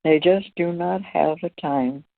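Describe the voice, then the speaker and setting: Woman, low-pitched, unhurried, deliberate spiritual teacher, muffled narrow-band sound. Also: creaky. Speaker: presenter leading a spiritual discussion.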